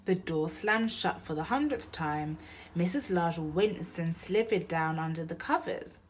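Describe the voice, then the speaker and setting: A woman's voice: lowish voice, expressive, a little slow, a little hiss or hum. A woman storyteller reading a picture book aloud expressively for young children.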